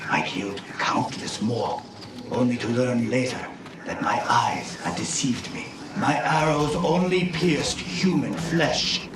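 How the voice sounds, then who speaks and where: A man's voice: medium pitch, background noise, natural voice. Echoing, solemn ghostly samurai played by a man. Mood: remorseful, grave. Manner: grave, solemn, deliberate. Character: remorseful ghostly male samurai archer, cursed and solemn. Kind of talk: dramatic game cutscene acting. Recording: echoing, distant, under loud music.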